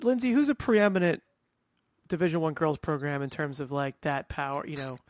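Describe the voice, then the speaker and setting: Joking man co-host, higher voice. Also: brisk, no echo. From New Jersey, USA. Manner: jokey, teasing interjections. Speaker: podcast co-host. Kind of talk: casual interview chat.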